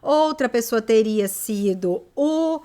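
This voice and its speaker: Lady hosting a book chat, kinda high voice, lots of ums and pauses while she finds the words. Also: expressive, dark tone, clear, resonant tone. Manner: hesitant, searching for words with fillers. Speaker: host. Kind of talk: casual book review discussion.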